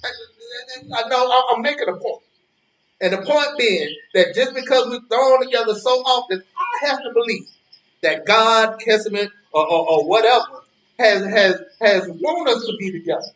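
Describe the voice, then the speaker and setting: Stage elder, male, acted: high voice, indignant moralizer who repeats himself; echoey recording with birdsong. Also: fairly bright tone. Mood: defensive. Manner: moralizing, halting, repeating words for emphasis. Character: preachy, indignant old man, lonely park-bench regular. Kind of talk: stage play dialogue. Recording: echoey, distant-sounding, noisy ambience with birdsong.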